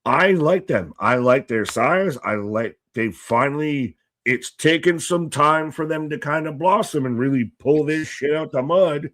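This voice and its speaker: A man's voice: on the high side, a little slow, hardly any pauses, dry recording. A man co-hosting a live wrestling watch-along stream, cracking jokes and swearing freely. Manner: jokey, excitable, swears freely. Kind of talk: casual live-stream commentary.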